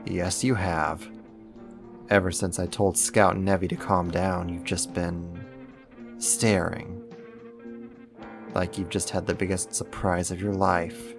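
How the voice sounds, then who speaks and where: A man acting in an ASMR roleplay as a caring, wry young supervillain roommate, speaking softly and conversationally with hesitant pauses and gentle teasing. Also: sits low, little pitch movement, gravelly, music in the background, heavily acted. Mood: soft, evasive.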